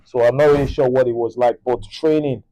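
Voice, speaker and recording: Mellow Nigerian man, laid-back and joking. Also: medium pitch, fairly even intonation, a little fast, low-quality recording. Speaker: co-host and nurse. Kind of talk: casual podcast chat.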